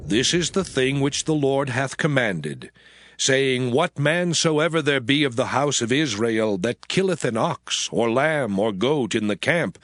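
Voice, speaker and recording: A man reading scripture in a formal, solemn and measured declamatory style. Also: mid-range voice, a bit bright, no room sound, clean studio sound. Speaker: scripture narrator. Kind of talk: dramatized audio Bible reading, formal narration. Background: music.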